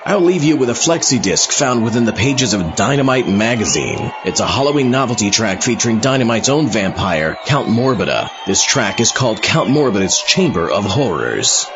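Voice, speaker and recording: A man's voice: on the low side, sing-song, moderate pace, few pauses. A man hosting a podcast with scripted comic narration in a dry, wry manner. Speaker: podcast host. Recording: slightly muffled, loud music behind. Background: music.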